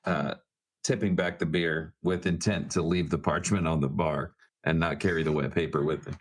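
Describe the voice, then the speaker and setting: A man playing a tabletop roleplaying game, speaking informally and haltingly as he improvises. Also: very deep voice, steady tone.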